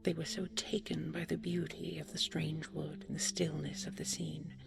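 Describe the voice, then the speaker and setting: A woman reading a fairy tale in a gentle, hushed bedtime manner that often drops close to a whisper. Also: deep voice, level tone, moderate pace, over music. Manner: gentle, hushed, breathy delivery often falling to near-whisper. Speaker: storyteller, audio roleplay voice actor. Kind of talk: scripted fairy-tale narration, soft sleep-aid bedtime storytelling.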